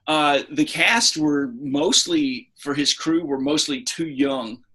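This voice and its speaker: A man co-hosting a podcast, talking casually about film in a halting, explanatory, trivia-minded way. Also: fairly high pitch, a crowd in the background. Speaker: podcast co-host. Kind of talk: casual film discussion among friends.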